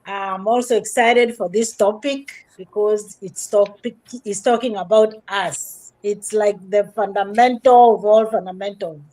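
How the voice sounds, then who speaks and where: Slow-talking, excitable woman mentor. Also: mid-range voice, low-quality recording. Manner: excited, religious, repeats phrases. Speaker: guest mentor. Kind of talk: casual introduction on a live stream.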